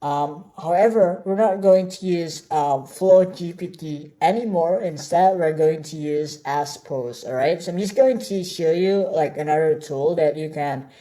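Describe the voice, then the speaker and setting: Woman course tutor, Indonesian native speaker, low and mellow voice, unhurried, chatty with lots of "all right?" check-ins. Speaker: online course instructor. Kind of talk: screen-share tutorial.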